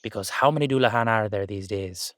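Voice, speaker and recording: A man's voice: on the low side, brisk, fairly bright tone, no room sound, natural voice. A man playing an exasperated but affectionate Irish dullahan rider, chatting coaxingly and teasingly to his horse in a roleplay audio drama. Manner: conversational, coaxing, teasing, slangy.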